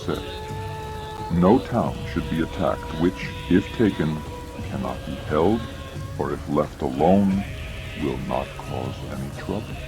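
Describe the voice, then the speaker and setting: A man reading dramatized scripted narration in a measured, formal way. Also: very deep voice, expressive, over music. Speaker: audiobook narrator.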